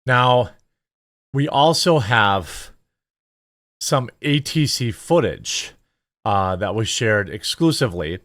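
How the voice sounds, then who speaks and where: A man's voice: lowish voice, expressive, takes it slowly, pauses often, a bit bright, dry recording, studio quality. A man giving casual commentary on news footage as a show host, conversational and a little hesitant as he thinks aloud.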